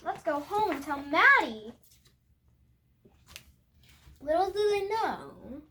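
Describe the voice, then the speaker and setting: A young girl reading a picture book aloud expressively, with halting moments and chatty asides to her viewers. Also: extremely high pitch, very animated, background noise. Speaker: storybook reader.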